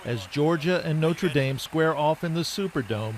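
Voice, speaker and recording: Male TV field reporter, slightly high voice, live news delivery, faint outdoor street noise. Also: lively intonation, normal speed.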